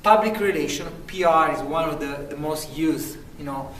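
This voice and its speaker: A man's voice: on the high side, normal speed, fairly bright tone, a little hiss or hum. A man from Italy with a thick Italian accent, presenting a sample lecture in an earnest, self-promoting way with frequent restarts. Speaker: lecturer applicant. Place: university room. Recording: echoey room, mic at a distance.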